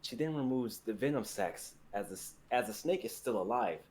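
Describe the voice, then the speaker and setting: A man's voice: medium pitch, animated, normal speed, a little mellow. A young man reading scripted dramatic fantasy narration for a livestream. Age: young adult.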